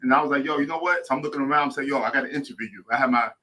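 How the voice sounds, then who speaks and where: A male talk show host in a radio studio, telling an animated anecdote with casual slang into a studio mic. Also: medium pitch, very fast. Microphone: studio mic on boom arm.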